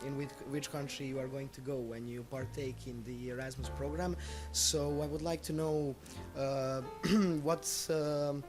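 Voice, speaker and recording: A young man with a Serbian accent hosting a radio interview in an unscripted, polite and hesitant way. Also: a little high. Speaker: school radio host. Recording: music playing behind the voice.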